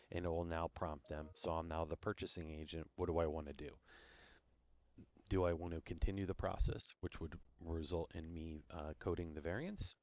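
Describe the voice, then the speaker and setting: A man's voice: sits low, a little hiss or hum. A man presenting an informal screen-share product demo, explaining things step by step.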